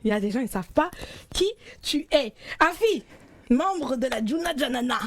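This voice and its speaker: A woman interviewer with a high-pitched voice keeps a casual chat going. She questions her guest in a playful, teasing manner. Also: lively intonation, normal speed. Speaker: interviewer host.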